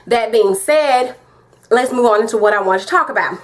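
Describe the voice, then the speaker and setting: Southern US woman vlogger, slightly high voice, emphatic, repeats her points, direct address to camera. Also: moderate pace. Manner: emphatic and repetitive, talks straight to viewers. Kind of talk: casual opinion vlog.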